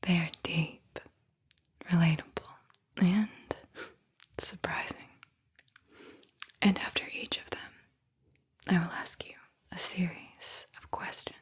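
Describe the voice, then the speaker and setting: A woman whispering a guided visualization in an ASMR style, with a breathy, airy voice and a soothing, deliberate delivery. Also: fairly low pitch, steady tone. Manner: slow, soothing, deliberate delivery with repeated phrases. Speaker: host, guided visualization narrator.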